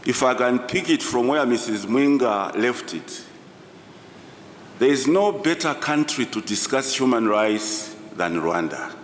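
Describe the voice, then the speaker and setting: A man gives a formal address as a professor, speaking deliberately and emphatically in short phrases with pauses. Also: animated. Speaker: professor giving a conference address. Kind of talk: formal public speech, storytelling. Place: large indoor conference hall. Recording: echoey hall, distant reverberant sound, low rumble.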